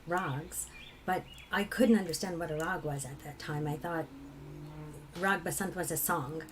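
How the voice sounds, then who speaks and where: A woman from England with a low, husky voice, a singer telling personal stories in a reflective conversation. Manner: reflective, laughs often while telling stories. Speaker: kirtan singer.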